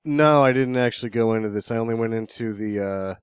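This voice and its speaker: A man's voice: medium pitch, fast talker, smooth, no echo. A man co-hosting a podcast in casual chat, dropping dry, wry quips. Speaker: podcast co-host.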